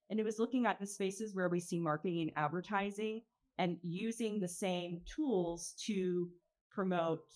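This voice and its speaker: A woman with a fairly low voice gives an informal slide presentation. She explains things in measured phrases, often halting and pausing as she goes. She speaks as the presenter of an organization's projects. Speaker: presenter, arts organization founder. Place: event pavilion.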